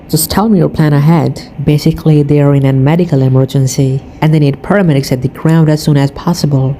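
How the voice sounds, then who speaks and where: A woman's voice: sits low, dark tone. A woman narrating and explaining air traffic radio recordings in plain, informal English with non-native phrasing. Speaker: aviation video narrator.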